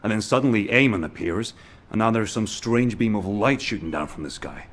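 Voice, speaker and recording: A man's voice: fairly low pitch, expressive, fairly quick, fairly bright tone. A man playing a battle-worn, frank recon soldier in a video game, speaking in an earnest, blunt way. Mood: agitated, incredulous. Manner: earnest, blunt, mildly coarse.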